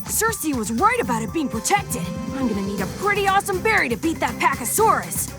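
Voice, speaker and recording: A woman voicing a plucky, earnest young boy hero in an animated game, boyish and exclamatory. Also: high-pitched, a little fast, over music. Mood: eager, startled.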